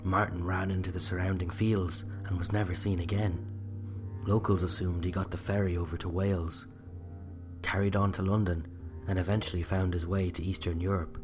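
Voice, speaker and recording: A man with an Irish accent narrating a scripted true-crime story in a measured, reflective way. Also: low voice, flat delivery, over music. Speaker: writer and narrator.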